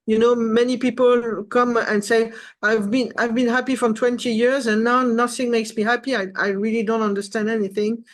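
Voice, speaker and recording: A woman explaining her work casually as a coaching client, halting and searching for her words. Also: fairly high pitch, little pitch movement. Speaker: coaching client, course creator.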